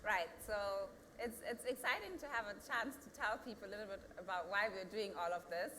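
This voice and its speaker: A woman's voice: mid-range voice, a little fast, pushed and bright, in a large hall, a little hiss or hum. A woman in her thirties with a German accent, a company founder answering interview questions earnestly and rising to emphatic peaks. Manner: earnest, builds to emphatic peaks, thinks aloud with restarts.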